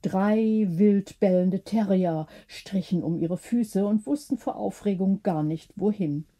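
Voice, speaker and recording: Female audiobook narrator for bedtime stories, very mellow and soft-edged, slightly husky, unhurried. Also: medium pitch. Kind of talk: scripted bedtime story narration.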